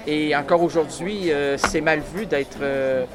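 A man's voice: a little high, a little slow, background noise. A Québécois man in a casual chat, explaining things earnestly at length with many hesitations, on a busy outdoor café terrace. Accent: Québécois French. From Quebec. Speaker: conversation partner. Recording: loud crowd chatter behind.

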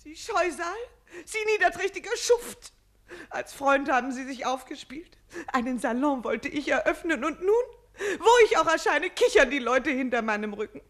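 A woman's voice: high voice, expressive, moderate pace, fairly bright tone. An actress playing a vain, melodramatically wronged society lady in a theatrical radio play. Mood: tearful indignation.

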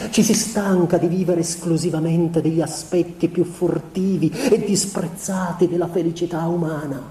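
A male actor plays a gentle, tormented young husband in a radio theatre monologue, confessing as if in a letter. His voice is mellow and fairly high for a man, with solemn, measured, inward-looking phrasing. It is picked up from a distance in a reverberant room. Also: natural voice. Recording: roomy, distant mic, noisy ambience.

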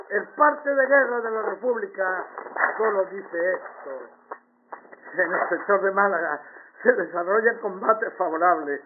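An actor playing a menacing, pompous fascist general who harangues and taunts listeners in 1930s wartime radio broadcasts through an old radio filter. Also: male voice, very high voice, a little hiss or hum. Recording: tinny, band-limited old radio broadcast.

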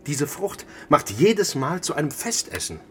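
An actor playing an earnest, food-obsessed chef, insistent and somewhat hesitant, in a German radio drama. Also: male voice, on the high side, big pitch swings, measured pace, soft background music. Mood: earnest, fervent. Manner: earnest, insistent, repeats himself. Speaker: chef character and narrator.

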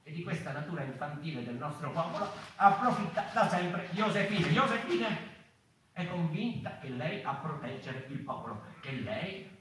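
An actor playing a weary, agitated member of a mouse people in a theatrical monologue, declaiming haltingly and repeating words and phrases. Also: male voice, a little high, close to an everyday voice. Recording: very echoey hall, distant, faint noise.

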